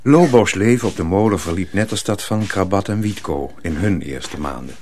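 A man narrating a radio drama in a measured, literary storytelling manner. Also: sits low, lively intonation, a crowd in the background.

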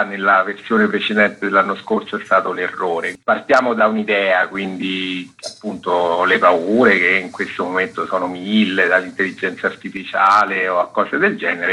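A man, a festival curator, answering interview questions on a radio program in a relaxed, meandering way. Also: on the low side, husky, poor audio. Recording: radio broadcast.

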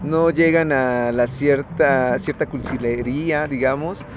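A man hosting a radio interview, asking questions in a halting, searching way. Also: medium pitch, fairly even intonation, background noise. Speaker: radio host.

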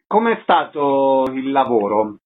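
A man's voice: mid-range voice, sing-song, takes it slowly, slightly rough, narrow, tinny audio. A man presenting and asking short questions in a radio theatre interview.

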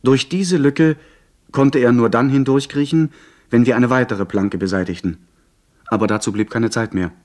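An actor playing an earnest young gentleman who narrates his pirate adventure in a radio play, in measured, literary sentences. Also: medium pitch, close to an everyday voice. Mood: tense.

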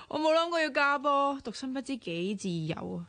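A woman acting in a radio drama as a playful, bossy young woman who is tight with money, teasing and sharp-tongued in Hong Kong Cantonese. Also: high voice, wildly swooping pitch, measured pace, dry recording, heavily acted. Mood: mocking.

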